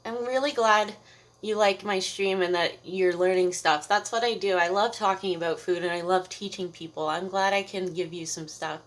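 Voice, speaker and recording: A woman's voice: medium pitch, normal speed. Chatty Canadian woman streaming while cooking. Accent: Canadian English. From Ontario, Canada. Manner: chatty, rambling, laughs often, many fillers. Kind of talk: casual live-stream chat while cooking. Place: home kitchen.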